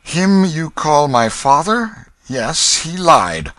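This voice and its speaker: A man's voice: on the high side, sing-song, slow, a bit bright, no echo, voice pushed up, full character voice. A man voicing a proud young king who speaks in a curt, disdainful manner. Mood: haughty refusal. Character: proud, haughty boy king in rags.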